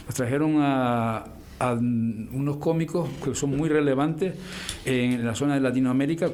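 A man's voice: medium pitch, a little mellow, a little hiss or hum. A man running a theatre, speaking as a radio guest, rambling and hesitant with many restarts. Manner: rambling, hesitant, repeats words. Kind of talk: casual radio interview.

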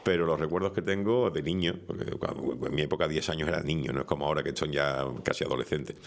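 A man in his fifties with a Canarian Spanish accent, chatting casually as a radio host. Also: rumbling low. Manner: fast, chatty, laughs often.

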